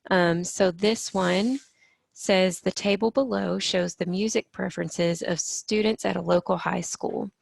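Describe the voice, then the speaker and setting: Woman, math teacher, slightly hoarse, gravelly, dry recording, patient explaining. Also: on the low side, fairly even intonation, unhurried, no room sound. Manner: patient, step-by-step explaining with frequent "okay" and "so".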